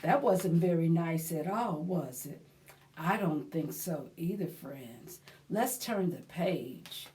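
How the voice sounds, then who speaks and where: A woman's voice: low-pitched, animated, slow, warm tone. A woman hosting a children's storytime, speaking expressively in a sing-song way and repeating phrases for young listeners. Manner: addresses young listeners as friends. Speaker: library storytime host. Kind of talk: children's storytime read-aloud and action rhymes.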